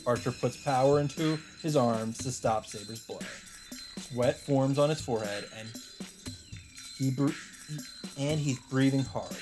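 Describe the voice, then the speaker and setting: A man's voice: medium pitch, a little slow. A man reading a visual novel aloud as a let's player, in dramatic narration. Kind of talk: dramatic read-aloud narration with casual asides. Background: game music.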